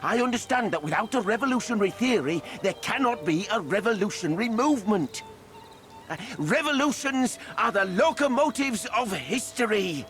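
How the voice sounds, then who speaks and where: A man's voice: high-pitched, lively intonation, normal speed, a bit bright, faint music behind. A man voicing a small, zealous revolutionary fairy in a video game, pompous and declamatory, as if addressing comrades. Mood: earnest lecturing. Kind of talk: video game character monologue.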